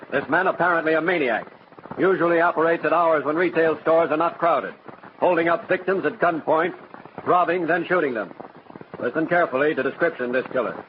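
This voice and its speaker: An American man announcing a 1940s radio crime alert in an urgent, clipped manner. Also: on the high side. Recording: dull, lightly hissy 1940s radio broadcast.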